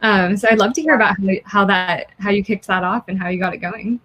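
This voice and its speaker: A woman's voice: mid-range voice, fairly even intonation, brisk, a bit bright. A woman hosting an interview, asking questions in a chatty, friendly way. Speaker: interviewer host.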